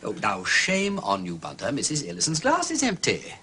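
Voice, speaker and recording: An actor playing a breezy, charming gentleman detective, effusive and playful, with an upper-class English accent. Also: mid-range voice, wildly swooping pitch, moderate pace, a little hiss or hum. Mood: effusively charming.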